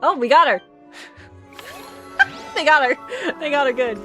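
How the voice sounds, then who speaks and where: A woman's voice: high voice, unhurried, pushed and bright, faint music behind. A woman streamer giving casual, giggly and reactive live commentary on a game.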